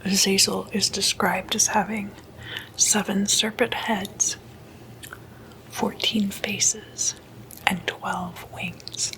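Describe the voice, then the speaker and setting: A woman speaking in a hushed ASMR whisper, unhurried and in short halting phrases, like an informal late-night lecture. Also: mid-range voice, little pitch movement, low-quality recording. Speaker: ASMR host.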